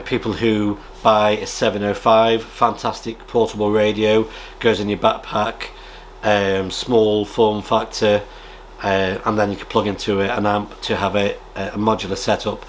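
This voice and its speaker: A British man chatting casually as a radio hobby vlogger, rambling in a halting way and often clearing his throat. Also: lowish voice, little pitch movement, a little hiss or hum. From United Kingdom. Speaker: amateur radio vlogger and presenter. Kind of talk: casual rambling chat, reading out viewer comments.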